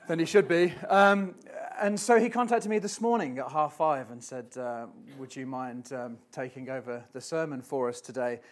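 A man filling in as preacher, giving a church sermon and reading scripture in a hesitant, self-deprecating way with many ums. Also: fairly high pitch. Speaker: stand-in preacher. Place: church platform before a congregation.

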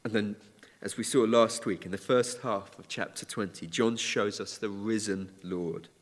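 A man preaching a sermon in a church, measured and earnest, with occasional restarts. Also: on the low side, steady tone. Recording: church hall reverb.

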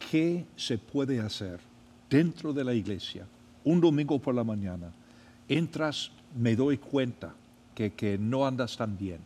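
A man, a pastor hosting the podcast, asking gentle pastoral questions in a casual conversation. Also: mid-range voice, expressive, a little slow, breathy edge, long reverb tail. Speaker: pastor, host.

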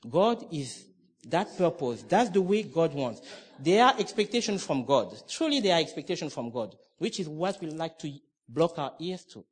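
A man's voice: fairly high pitch, big pitch swings, normal speed, breathy edge. A middle-aged man from Cameroon preaching a sermon, emphatic and conversational, with restarts and asides.

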